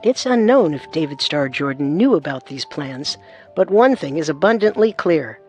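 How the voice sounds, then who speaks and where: A woman narrating a scripted true-crime story as co-host, composed and deliberate. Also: low-pitched, lively intonation, a little mellow, soft background music.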